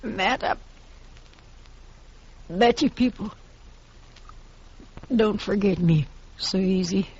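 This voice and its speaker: Casting: a defiant, rifle-toting frontier woman, slow-spoken, vintage radio western, muffled lo-fi sound. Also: medium pitch, a little mellow, breathy edge. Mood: weak, dying. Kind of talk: 1950s radio western acting. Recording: dull, noisy 1950s radio recording, some echo.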